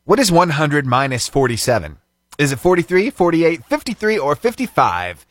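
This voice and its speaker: Male radio morning-show host, lively pitch, dry close studio sound, fervent then chatty. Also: moderate pace, no echo. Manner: animated, fervent praying then chatty and upbeat. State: slightly itchy throat, voice lower than usual. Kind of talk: prayer and casual radio chat. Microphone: studio microphone.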